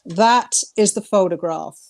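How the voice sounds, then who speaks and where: A woman's voice: on the low side, unhurried, vocal fry, darkish tone, no echo. A woman co-hosting a talk show as a poet, speaking earnestly and emphatically and repeating phrases for emphasis. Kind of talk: heartfelt talk and poetry reading.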